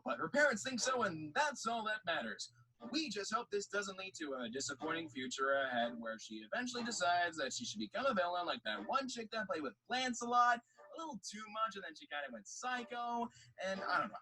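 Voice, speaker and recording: A man playing an excitable, rambling narrator of a superhero comedy series, full of mock-serious asides. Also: high-pitched, poor audio.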